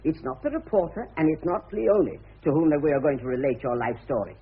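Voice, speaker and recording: Glib, high-pitched scheming press agent. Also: male voice, sing-song, brisk. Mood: persuasive. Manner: smooth-talking, emphatic, pushy. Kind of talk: radio play acting. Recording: muffled, tinny old radio drama recording.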